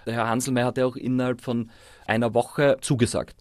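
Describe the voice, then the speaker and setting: A man's voice: medium pitch, level tone, a little fast, darkish tone, dry recording, studio quality. An Austrian man of forty speaking informally and encouragingly, like a teacher explaining things to pupils. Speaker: primary school teacher and musician. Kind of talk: casual explaining and coaching.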